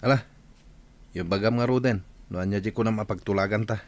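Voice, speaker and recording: A man's voice: fairly low pitch, fairly quick, no echo, close to an everyday voice. A man playing a flippant, grumbling young husband in an Ilocano comedy radio drama. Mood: irritated.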